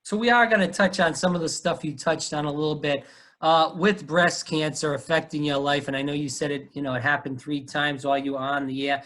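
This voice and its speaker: A man's voice: on the high side, steady tone, fairly quick, fairly bright tone. A man from Rhode Island with a Rhode Island accent, hosting a casual interview and talking in a chatty way with lots of fillers. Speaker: podcast host.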